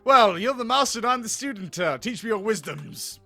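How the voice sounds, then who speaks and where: A man's voice: high voice, lively intonation, fairly quick, gravelly, pushed and bright, soft background music, full character voice. A man voicing an earnest, naive, eager restaurant captain in a comedy visual novel, with silly exclamations.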